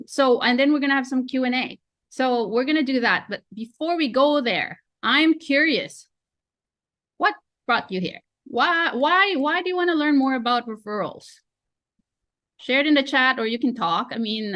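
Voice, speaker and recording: A woman's voice: fairly high pitch, no echo, dull audio. A woman in her forties with a Filipino accent, chatty and self-deprecating, coaching a webinar over a video call. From Philippines. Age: 40s. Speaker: real estate coach. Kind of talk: online training webinar.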